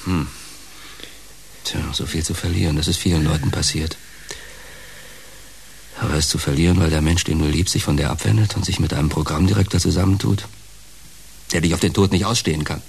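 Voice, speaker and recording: A bitter heartbroken washed-up showman, low voice, radio drama, halting and heavy with feeling, hissy recording. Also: steady tone. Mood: wounded. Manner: halting, dramatic, emotionally laden delivery. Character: bitter, heartbroken fallen entertainer, male, wounded and brooding. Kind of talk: German radio play dialogue. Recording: clear background hiss.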